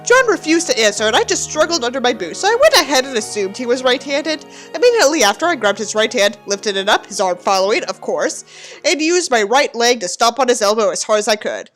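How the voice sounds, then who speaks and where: A woman's voice: on the high side, big pitch swings, a little fast, a bit bright, soft background music. A woman giving a mock-dramatic reading of a book with theatrical, sarcastic delivery and candid asides. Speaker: host reading a book aloud with commentary.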